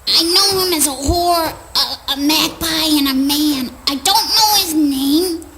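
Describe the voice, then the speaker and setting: An actress playing a sly, scornful old crone in a spoken word play, with a taunting, theatrical manner. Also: female voice, high voice, slow, background noise. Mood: cryptic, sly.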